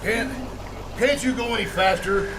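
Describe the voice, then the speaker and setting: A man's voice: sits high, measured pace. A man playing a pompous, wealthy, complaining passenger in a radio comedy, haughty and huffy with stammers. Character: pompous, grumbling, self-important wealthy male bus passenger. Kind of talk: old-time radio comedy acting. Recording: noisy live theater recording.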